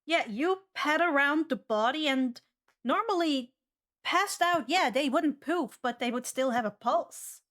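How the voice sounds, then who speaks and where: A woman's voice: sits high, animated, unhurried, a bit bright. A woman narrating and refereeing a tabletop game as game master.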